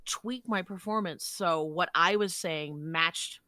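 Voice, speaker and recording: Low, bright-voiced woman, dry sound. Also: slow pace, dry recording. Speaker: guest voice actor. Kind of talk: casual podcast chat about work.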